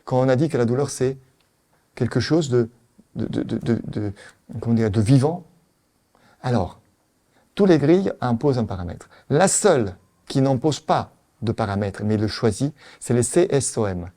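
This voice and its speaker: A middle-aged man with an Italian accent, giving a conference lecture as a veterinary specialist, animated and full of rhetorical questions. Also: mid-range voice, normal speed.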